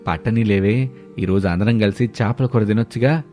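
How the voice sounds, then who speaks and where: A man voicing an easygoing, teasing older father-in-law in a cartoon story, playful and relaxed. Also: fairly low pitch, moderate pace, soft background music, natural voice.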